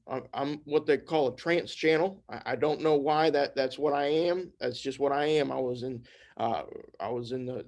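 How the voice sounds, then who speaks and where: Earnest young man giving a sermon. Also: fairly high pitch. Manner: repetitive, earnest, with restarts. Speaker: trance channel and speaker. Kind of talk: informal sermon.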